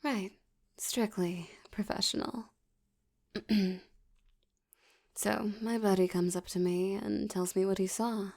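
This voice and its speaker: A woman playing a seductive, menacing mafia boss in an ASMR roleplay, teasing and often slipping into a breathy whisper. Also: mid-range voice, steady tone, a little mellow. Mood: cool, businesslike. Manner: teasing, slow, velvety, threatening. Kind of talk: ASMR roleplay audio drama.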